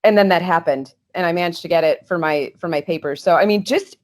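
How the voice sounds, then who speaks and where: A woman's voice: lowish voice, quick pace. A woman talking as a guest historian on a podcast, earnest and explanatory, with small restarts. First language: English.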